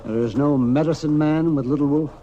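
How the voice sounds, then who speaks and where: An actor playing a steady, protective frontier doctor in an old radio Western, talking in a deliberate, sometimes muttering way. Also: male voice, a little high, muffled recording, lightly acted. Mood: wary, resolute. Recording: noisy old-time radio recording.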